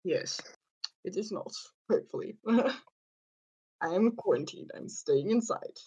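A woman's voice: mid-range voice, lively intonation, takes it slowly, dark tone, slightly rough, muffled recording. A seventeen-year-old woman from Sweden whose first language is Swedish, co-hosting a casual podcast while sniffling with a cold. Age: teens. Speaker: podcast co-host. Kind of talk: casual chat.

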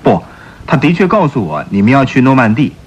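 An actor playing a stern, suspicious and stubborn police chief in a radio drama, questioning insistently in a husky voice. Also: male voice, mid-range voice, very animated, fairly quick, highs cut off. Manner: probing, insistent, theatrical questioning.

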